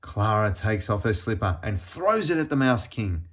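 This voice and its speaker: A man reading a children's storybook aloud in an expressive, deliberate storytelling manner with pauses. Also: fairly low pitch. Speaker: storybook reader.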